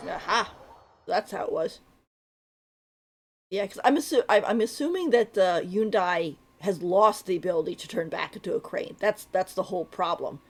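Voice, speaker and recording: A woman's voice: on the low side, moderate pace. A woman streaming a game, giving casual live commentary and thinking aloud in a rambling way. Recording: faint game music behind.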